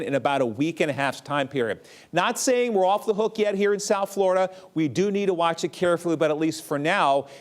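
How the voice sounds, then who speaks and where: A man presenting a TV weather forecast in an emphatic, sing-song presenter delivery. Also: a little high.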